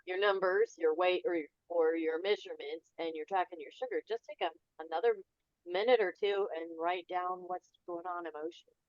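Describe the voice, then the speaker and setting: A woman's voice: on the low side, steady tone, a little fast, a little mellow, no echo. A woman co-leading a group call, encouraging a participant and listing out what she did well, heard through muffled call audio. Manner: encouraging, gives kudos, lists points.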